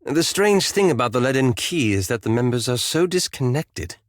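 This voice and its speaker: A man's voice: mid-range voice, lively intonation, moderate pace, no room sound, close to an everyday voice. An actor playing a scholarly, easily exasperated male elf wizard in a video game, with formal, dry, sardonic diction. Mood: measured, explanatory. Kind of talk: video game character dialogue.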